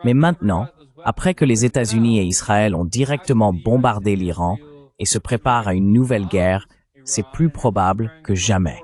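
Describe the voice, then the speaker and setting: A man's voice: lowish voice, normal speed, darkish tone, natural voice. A man voicing a French translation of a political analyst's explanatory interview commentary.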